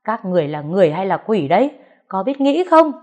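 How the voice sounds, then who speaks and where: A woman's voice: medium pitch, steady tone, a little slow, dark tone, pitched above the performer's own voice, natural voice. Woman narrator doing a heartbroken girl, crying and begging, storybook drama. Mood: pleading. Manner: crying, pleading. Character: desperate grieving teenage girl.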